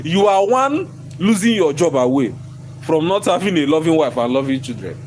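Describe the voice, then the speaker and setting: A man, hoarse from losing his voice, ranting in a vlog from inside his own shop. He repeats himself emphatically, stumbles over his words and keeps breaking into laughter. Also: fairly high pitch, very animated, moderate pace, a little mellow, a little hiss or hum. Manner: repetitive, emphatic, stammering restarts, bursts of laughter. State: hoarse, lost voice. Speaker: shop owner vlogger.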